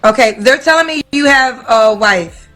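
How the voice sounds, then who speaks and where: Bright, high-voiced woman running a live dating show. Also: normal speed, soft background music. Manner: direct and bossy, uses endearments like honey and baby.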